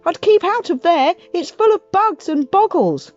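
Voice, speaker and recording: An anxious young boy acted by a woman reader protests and pleads in a high, lively voice. He sounds earnest and exasperated, a storybook child, with music behind and slightly dull sound. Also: unhurried, full character voice. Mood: exasperated, cautioning.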